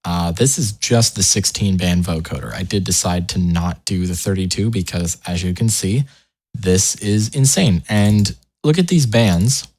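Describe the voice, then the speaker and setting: A man with a fairly low voice presents a software tutorial. He talks casually, as if to friends, dropping in wry asides. He often breaks off his own sentences to add something. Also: measured pace. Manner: informal, self-interrupting, wry asides. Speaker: tutorial host.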